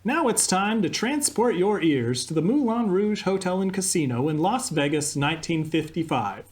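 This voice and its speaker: A man's voice: high-pitched, animated, normal speed. Guy doing a chirpy punny radio cohost, voice bouncing up and down all over. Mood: cheerful. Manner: eager, punning storyteller. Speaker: co-host of a radio comedy sketch. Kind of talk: live radio comedy acting.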